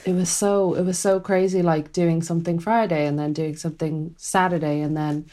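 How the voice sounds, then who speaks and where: A woman's voice: fairly low pitch, little pitch movement, normal speed, mellow. A young woman co-hosting a podcast, stuffy with a cold, bubbly and laughing as she chats. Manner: bubbly, laughing, filler-heavy. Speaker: podcast co-host. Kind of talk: casual chat.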